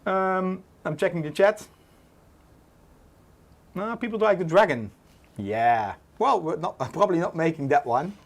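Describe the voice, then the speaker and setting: A Dutch man in his forties with a Dutch accent, hosting a casual livestream, relaxed and full of "uh" fillers. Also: high-pitched, measured pace, pauses often. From Netherlands. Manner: relaxed, many "uh" fillers, reads chat aloud. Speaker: livestream host.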